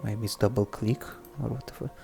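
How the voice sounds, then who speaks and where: A native Russian-speaking man with a Russian accent presents a casual coding tutorial, thinking aloud in a halting way. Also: fairly low pitch, steady tone, vocal fry, warm tone. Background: background music, typing on keyboard.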